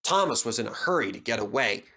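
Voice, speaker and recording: A man's voice: medium pitch, lively intonation, fast, bright, forceful tone. A man reading a scripted children's story as its storyteller.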